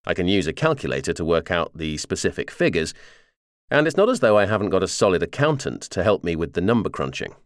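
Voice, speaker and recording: A man reads a first-person memoir as a scripted audiobook narration, in a measured, clearly articulated way. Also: low voice, very animated, dry recording, clean studio sound.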